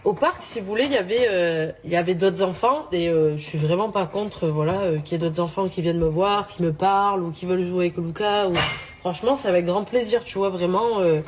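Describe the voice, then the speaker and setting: A woman's voice: mid-range voice, a little mellow, a little hiss or hum. A woman vlogger talking in an informal, explanatory way, chatting casually to her followers. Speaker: social media vlogger.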